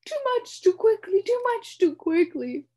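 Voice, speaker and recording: A blustering, self-important humbug holds forth in a high, swooping, loud voice, as a woman reading aloud puts him on. He drags out every flowery phrase slowly, and the tone stays mellow and soft-edged. It is a storybook voice with plenty of show. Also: very animated, exaggerated voice. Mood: pompous. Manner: grandiose, blustering, theatrical. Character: pompous wordy male humbug bug.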